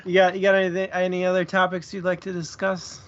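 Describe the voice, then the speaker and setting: A man's voice: sits high, completely flat delivery, fast, muffled recording. A man joining a casual book discussion, joking at his own expense and laughing often. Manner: joking, self-mocking, giggly.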